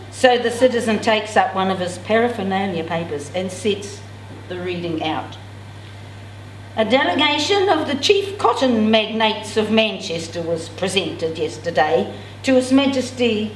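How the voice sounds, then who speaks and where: Woman, 60s, expressive literary read-aloud with theatrical dialogue. Medium pitch, roomy and distant from the mic. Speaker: amateur reader at a literary event. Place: indoors, in a function room. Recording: roomy, distant mic, clear background noise.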